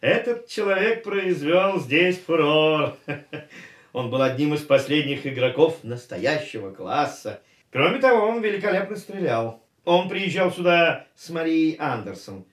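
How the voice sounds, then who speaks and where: An actor playing a talkative older casino gentleman in a radio play, telling a story in a lively way. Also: a little high, measured pace. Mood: anecdotal. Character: chatty older male casino gentleman, reminiscing. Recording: roomy, distant radio play recording with faint music.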